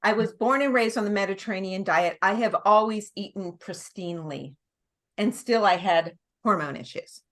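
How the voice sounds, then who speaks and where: A woman's voice: mid-range voice, moderate pace, a bit bright. Chatty middle-aged woman explaining at length. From United States. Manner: chatty, explains at length with frequent restarts and laughs. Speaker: guest nurse practitioner. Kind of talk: casual interview conversation.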